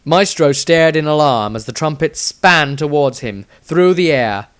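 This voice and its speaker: A man's voice: a little high, lively intonation, unhurried, a bit bright, clean tone, dry recording. A man reading a scripted fantasy adventure aloud as a storyteller, with dramatic emphasis. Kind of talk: scripted audiobook narration.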